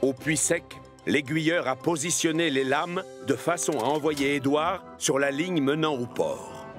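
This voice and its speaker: A man's voice: fairly high pitch, unhurried, soft background music. A man narrating a children's cartoon story in a scripted storytelling style.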